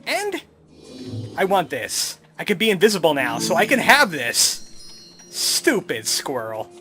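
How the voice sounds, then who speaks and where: High-pitched, animated male gamer. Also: measured pace. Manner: snarky, wry. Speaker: gamer, let's play host. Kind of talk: casual game commentary. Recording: commentary over game music.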